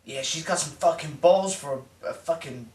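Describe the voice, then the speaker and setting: A loud, boorish, swaggering young American bro, played by a man, his voice pitched up high. It sounds roomy and echoey, as though he is standing back from the mic. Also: normal speed, distant mic, close to an everyday voice. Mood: crude prank calling. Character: brash crude young American man, president's son. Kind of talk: mockumentary comedy acting.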